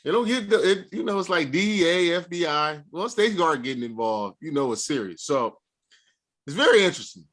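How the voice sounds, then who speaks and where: A man's voice: on the high side, lively intonation, fairly quick, a bit bright. A man co-hosting a podcast in a playful, slangy casual chat. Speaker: podcast co-host.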